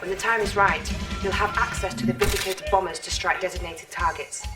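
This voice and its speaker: A woman playing a calm military intelligence officer in a video game, briefing in a formal, precise way. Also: medium pitch, fairly quick, distant mic, close to an everyday voice. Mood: composed, encouraging. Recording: game audio with music behind.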